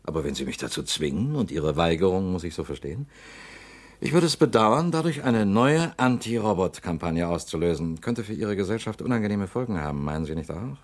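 A man's voice: low-pitched, very animated, fairly quick. An actor playing a scheming politician who presses his case with insinuation and veiled threats in a radio play. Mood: threatening. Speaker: politician confronting a company director. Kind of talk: radio drama acting.

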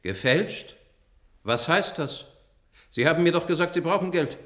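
A man's voice: on the high side, expressive, moderate pace, a bit bright. An actor playing an eager, naive and loyal male robot in a radio play, speaking earnestly and deferentially. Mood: proud, innocent. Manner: earnest, polite and deferential to his boss. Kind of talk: German radio play acting. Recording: radio play, faint background noise.

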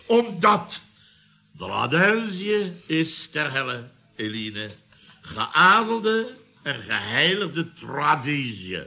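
An actor playing a pompous, indignant older boss in a Dutch radio comedy, declaiming theatrically with a Netherlands accent. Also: male voice, fairly high pitch, big pitch swings, drawn-out words, gravelly, sharp tone, heavily acted. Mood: pompous outrage.

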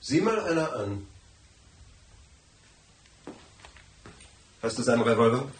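An actor playing a domineering, impatient man in a radio crime drama, forceful and demanding. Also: medium pitch, big pitch swings, quick pace, natural voice. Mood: sardonic. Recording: echoey room.

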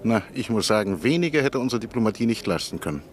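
An actor playing a worried parliament member who gossips in a hushed, fretful way in a classic radio drama. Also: male voice, on the low side, expressive, fast talker, natural voice.

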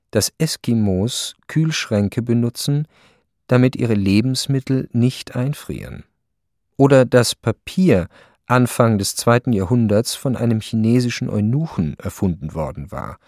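A man narrating a radio play as a detached, precise storyteller, matter-of-fact and deadpan. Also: mid-range voice, measured pace, no room sound, clean studio sound.

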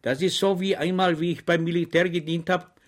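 A cheerful, simple-minded soldier speaks with wide-eyed earnestness and meek politeness, as a male narrator puts him on. His voice sits a little above the reader's usual pitch. The innocence never breaks. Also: flat delivery, brisk, lightly acted. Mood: innocent.

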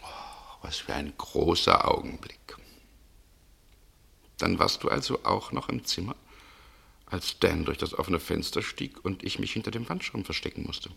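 A male actor playing a shrewd, cool man in a German radio mystery, speaking in a gravelly, deliberate, insinuating way. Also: deep voice, lively intonation, bright, forceful tone, heavily acted. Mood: sardonic, probing. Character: shrewd, cool man coolly interrogating a murder suspect.

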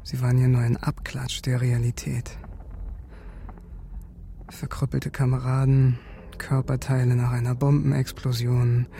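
An actor playing a haunted soldier in a sombre, restrained radio drama monologue, speaking in terse phrases. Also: male voice, mid-range voice, flat delivery, a little slow, vocal fry, warm tone, slight background noise. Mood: grim, numb. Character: haunted male soldier recalling war atrocities.

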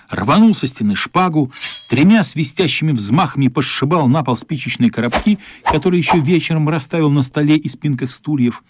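A man's voice: medium pitch, a little mellow. A man narrating a radio play as a scripted story.

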